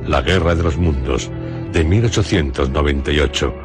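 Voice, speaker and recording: A man with a Castilian Spanish accent, narrating a literary radio programme in a solemn, measured storyteller's manner. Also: very deep voice, music in the background. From Spain. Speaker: host and narrator of a radio drama series.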